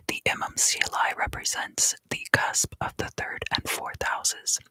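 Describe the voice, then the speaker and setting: A woman giving an ASMR tarot reading in a soft, unhurried whisper. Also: lowish voice, gravelly, breathy edge, no room sound. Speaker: tarot reader and ASMR host.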